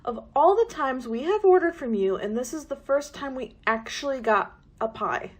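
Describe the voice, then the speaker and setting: A woman plays a sly, sinister radio-play woman with a high voice and very lively pitch. She draws out her mock sympathy in false sweetness. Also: moderate pace, husky. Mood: irritated.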